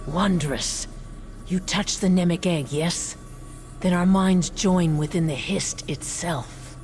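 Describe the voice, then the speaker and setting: A woman playing an aging lizardfolk officer in a fantasy game, solemn and formal with dry wit, speaking in measured phrases. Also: fairly low pitch, a little mellow, faint music behind. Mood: wondering. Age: older. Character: aging female lizardfolk officer, solemn, reverent, wryly joking. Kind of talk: fantasy game character acting.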